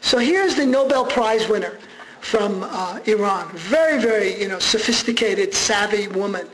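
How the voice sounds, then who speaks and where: High-voiced animated male lecturer. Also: normal speed, rough recording. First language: Armenian. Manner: animated, conversational, restarts with fillers. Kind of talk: public lecture.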